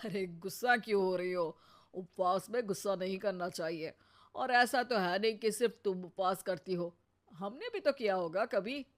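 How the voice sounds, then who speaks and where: A woman's voice: mid-range voice, normal speed, lightly acted. A woman voicing a teasing, sarcastic elderly father-in-law in a comic cartoon, with a wry, mocking manner.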